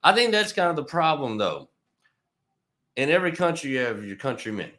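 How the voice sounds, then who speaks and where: A man in loose, casual podcast talk who swears freely and drops quick "yeah"s while the other speaker talks. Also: a little high, sing-song, fairly bright tone. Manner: loose, profane, quick backchannels. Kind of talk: casual conversation.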